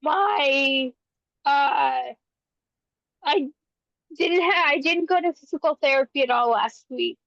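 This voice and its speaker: A woman's voice: sits high, slow, vocal fry, long pauses, fairly bright tone, dry recording. A woman chatting casually as a podcast co-host, a little sniffly from a runny nose. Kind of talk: casual chat. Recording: slightly dull, lo-fi audio.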